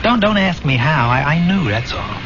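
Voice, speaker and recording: An actor in a 1970s radio drama playing a weary, haunted war buddy back from the dead, speaking plainly in halting phrases. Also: male voice, on the high side, big pitch swings. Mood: haunted, recounting. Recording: dull old radio recording with music.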